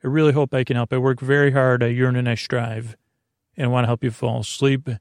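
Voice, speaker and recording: A middle-aged man hosting a sleep podcast, talking in a meandering, goofy monologue full of asides and restarts. His voice is creaky. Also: medium pitch, level tone, a little fast, darkish tone. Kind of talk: rambling bedtime monologue.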